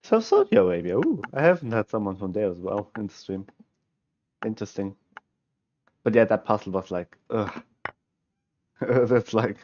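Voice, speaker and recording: A man's voice: lowish voice, very animated, a little mellow, lo-fi sound, a little hiss or hum. Swiss German man musing over chess puzzles. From Switzerland. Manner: musing, halting, self-correcting, talks to himself. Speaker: chess streamer. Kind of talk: thinking aloud while solving chess puzzles, chatting with viewers. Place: small room at home, indoors. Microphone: headset.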